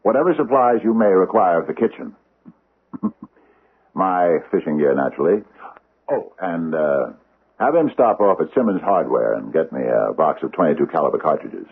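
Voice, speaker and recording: Deep-voiced, ponderous old judge. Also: male voice, expressive, moderate pace, slightly rough, heavily acted. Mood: grumbling, dry. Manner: hesitant, formal, ponderous phrasing. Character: aging pompous judge, ponderous, secretive and grumbling. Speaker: actor in radio drama. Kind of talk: old-time radio drama acting. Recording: muffled, band-limited vintage radio recording.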